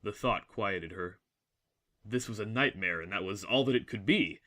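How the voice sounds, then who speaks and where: A man's voice: fairly low pitch, moderate pace, bright, forceful tone. A man reading scripted, dramatic story narration as the narrator of an audio drama.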